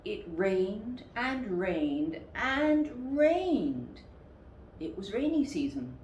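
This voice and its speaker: Woman, children's storyteller, slow deliberate delivery, very expressive intonation, background noise. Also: on the low side. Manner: expressive, deliberate, asks listeners questions. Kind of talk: children's picture-book read-aloud. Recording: roomy, distant, noisy recording.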